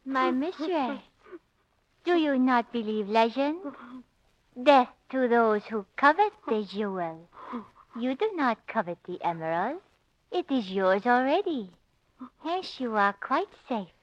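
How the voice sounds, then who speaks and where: A woman's voice: a little high, big pitch swings, slow. A woman playing a devoted young Chinese maidservant in a 1940s radio drama, speaking formally and earnestly. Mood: solemn, devoted. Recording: muffled 1940s radio recording.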